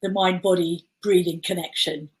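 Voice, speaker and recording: A woman's voice: lowish voice, steady tone, normal speed. A woman hosting an online session. She backs up her guest and sums up his points. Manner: affirming, summarizes the guest's points. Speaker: host. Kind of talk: webinar interview.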